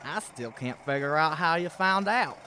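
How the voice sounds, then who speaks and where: A man acts out a grudging small-time killer in a radio crime play. His talk is slangy and animated, pitched a bit high for a man. Clear engine-like noise runs behind him. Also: moderate pace, lightly acted. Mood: grudgingly puzzled. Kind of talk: radio crime drama acting.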